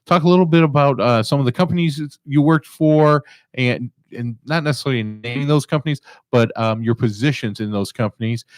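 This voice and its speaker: A man's voice: medium pitch, brisk, dry recording. A man hosting an interview show, rambling a little with fillers as he introduces his guest. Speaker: host.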